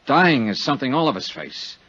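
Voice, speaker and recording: A hard-boiled American investigator in a 1950s radio drama. His pitch moves freely as he coaxes or gives orders, steady throughout. The vintage recording is dull and lo-fi. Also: brisk, husky. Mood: earnest, probing. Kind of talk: 1950s radio drama acting with first-person narration.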